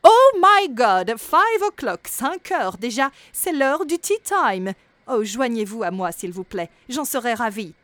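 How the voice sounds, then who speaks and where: A woman's voice: a little high, sing-song, measured pace, fairly bright tone, no room sound. A woman voicing a fussy, very polite old male gnome in a storybook reading, speaking in a pompous, genteel manner.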